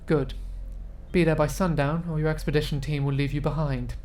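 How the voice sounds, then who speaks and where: Woman playing a low-voiced, commanding senior oracle. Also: steady tone, faint music behind, natural voice. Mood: commanding, veiled threat. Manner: formal, measured, quietly menacing. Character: commanding senior oracle, smooth, coldly authoritative superior. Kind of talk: fantasy audio drama acting.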